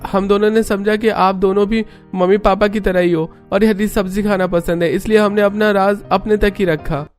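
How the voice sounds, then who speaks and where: Man playing a high-voiced sheepish young husband. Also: steady tone, moderate pace, faint music behind, close to an everyday voice. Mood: defensive. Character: young husband, sheepish secret eater, defensive.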